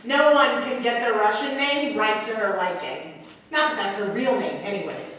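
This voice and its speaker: A woman's voice: a little high, normal speed, fairly bright tone, lightly acted. Actress as a glam laid-back commando lady in an old-style radio play, echoey hall, bit of noise. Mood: wearily amused. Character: casual, glamorous woman commando with movie-star looks. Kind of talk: staged 1940s-style radio play acting. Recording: echoey hall, distant stage mic, faint noise.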